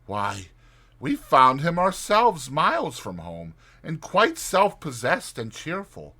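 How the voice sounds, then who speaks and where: A man's voice: fairly high pitch, wildly swooping pitch, slow pace, bright, forceful tone, pitched above the performer's own voice, exaggerated voice. A man voicing an easygoing male mole in a dramatized storybook, talking lightly and reassuringly. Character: easygoing, unworried male mole, kindly animal friend. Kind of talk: dramatized storybook character reading.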